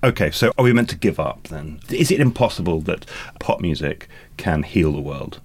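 Very deep-voiced man, dry humor. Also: expressive, normal speed. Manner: dry, joking. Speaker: podcast co-host. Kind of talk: podcast discussion. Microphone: studio mic.

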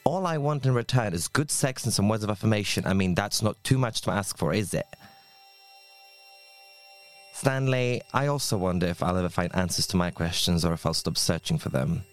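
A man's voice: fairly low pitch, normal speed. A man hosting a podcast, narrating a script with a wry, self-mocking tone. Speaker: podcast host. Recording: faint music under the voice.

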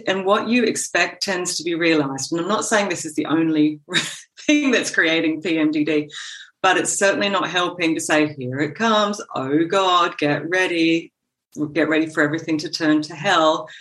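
A woman with an Australian accent, a therapist speaking as a podcast guest, relaxed and persuasive, laughing easily. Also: fairly low pitch, normal speed, rough recording. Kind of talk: casual podcast interview.

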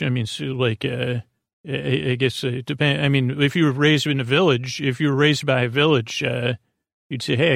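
A man with a creaky voice hosting a bedtime podcast, meandering gently and hesitantly, often interrupting himself in a soothing way. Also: mid-range voice, no echo, clean studio sound. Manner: soothing, gentle, soft-spoken. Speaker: podcast host. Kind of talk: rambling bedtime podcast monologue.

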